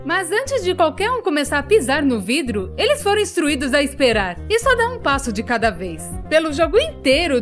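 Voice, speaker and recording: A woman with a Brazilian accent voicing a chatty, self-mocking young storyteller in a fan-dubbed animated video, with comic, exaggerated asides. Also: high voice.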